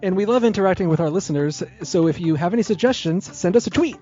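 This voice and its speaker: A man's voice: high voice, animated, fast, dark tone. A man presenting a scripted podcast promo as a co-host. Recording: lo-fi promo with music bed.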